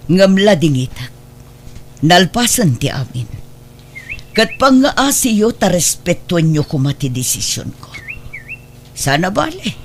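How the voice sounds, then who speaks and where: A woman's voice: on the low side, full character voice. A woman playing a stern, wealthy middle-aged mother in an audio drama, firm and reproachful, with a Northern Philippine accent. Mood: firm, forgiving. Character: stern, wealthy ailing adoptive mother putting her affairs in order. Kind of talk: emotional audio drama acting. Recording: clear background noise.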